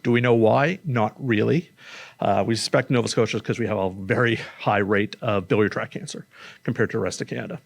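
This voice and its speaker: A man's voice: on the low side, steady tone, a little fast, vocal fry. A man, an oncologist, giving a casual, plain-spoken public talk with slides in a conference room, speaking into a stage microphone. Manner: casual, plain-spoken, says he has a loud voice.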